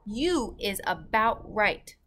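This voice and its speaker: A woman's voice: mid-range voice, very animated, slow pace, fairly bright tone, a little hiss or hum. A woman voicing a huge, boastful man-eating giant from a children's storybook, with emphatic, ungrammatical speech. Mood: boastful, menacing. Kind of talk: children's storybook read-aloud.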